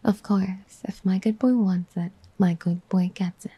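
A woman playing a possessive, praising mommy girlfriend in a husky, breathy ASMR whisper. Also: medium pitch, steady tone, measured pace, dark tone, no echo. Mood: affectionate, possessive. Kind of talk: soft-spoken ASMR roleplay. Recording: dull, muffled close recording.

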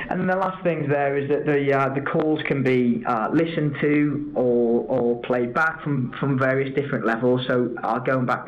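A man giving an informal webinar presentation as a product trainer, explaining step by step with examples. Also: mid-range voice. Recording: dull lo-fi audio with background noise.

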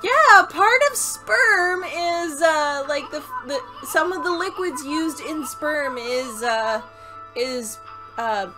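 A woman's voice: sits high, expressive, slow pace, rough recording. A woman doing casual, playful gaming commentary as a co-host, exclaiming freely and joking crudely. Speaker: let's play co-host playing the game. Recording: loud game music behind voice. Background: video game music.

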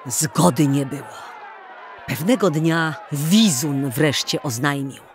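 A man narrating an old folk tale as a dramatic storyteller in a theatrical, deliberate manner. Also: a little high, faint music behind, a faint crowd.

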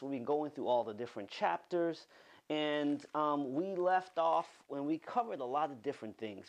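A man in his thirties, a pastor delivering an animated online sermon from a studio, repeating words for emphasis. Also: fairly high pitch. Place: studio with green-screen backdrop.

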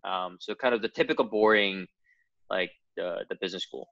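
Low-voiced man, dull dry sound. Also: fairly quick, creaky, fairly bright tone, dry recording. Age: 30s. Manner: hesitant, many "um" and "like" fillers. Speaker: startup co-founder. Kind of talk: casual interview answers.

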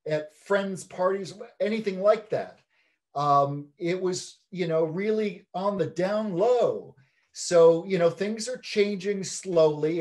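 Measured middle-aged man on a video call. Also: on the high side, darkish tone. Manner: measured, explanatory. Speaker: film director guest. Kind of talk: interview answers. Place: large hall with instruments, indoors. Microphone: video call webcam.

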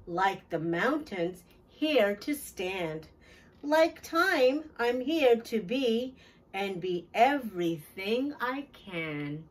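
A middle-aged woman hosting a children's storytime, reading a picture book aloud with deliberate, clear phrasing for young listeners, picked up from a little distance. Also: mid-range voice, lively intonation. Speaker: children's storytime host and teacher. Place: small decorated classroom-style storytime corner, indoors.

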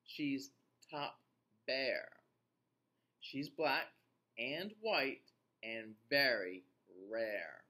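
A man in his forties reading a picture book aloud to young children in an expressive, teacher-like way, with playful asides. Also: fairly high pitch. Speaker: children's read-aloud host. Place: small indoor room.

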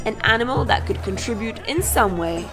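A woman's voice: mid-range voice, animated, a little fast. A woman in her twenties narrating a travel vlog in a scripted voice-over. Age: 20s. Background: music, crowd.

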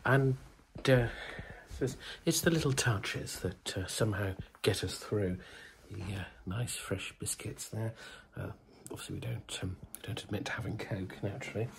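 Bunged-up man, low and hushed, chatty vlog update from a quiet hotel room, faint hiss behind. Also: moderate pace, gravelly, low-quality recording. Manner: speaks softly, hushed, many ums. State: bunged up with a cold. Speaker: vlogger.

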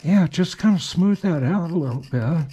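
A man's voice: on the high side, lively intonation, moderate pace, warm tone, husky. An older man talking casually and unhurriedly as he works, half to himself, in a folksy way. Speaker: hobby machinist showing shop work. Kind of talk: casual workshop narration while working. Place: small cluttered indoor workshop.

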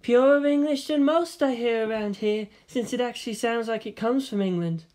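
A woman reading an audiobook, voicing a cool, exacting woman scientist in a flat, measured manner. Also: fairly high pitch, voice pushed up, lightly acted. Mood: dry. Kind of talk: audiobook character voice.

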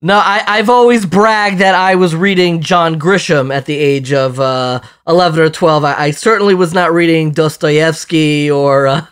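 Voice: mid-range voice, normal speed, few pauses, clean tone. A podcast host asking an interview guest questions in a curious, conversational way.

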